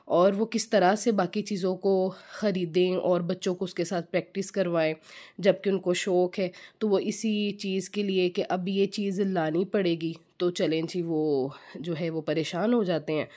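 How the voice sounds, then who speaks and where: A woman with a slightly hoarse throat, giving a chatty home tutorial for mothers that mixes in English words. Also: lowish voice, warm tone. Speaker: home teaching channel host. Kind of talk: casual home tutorial talk.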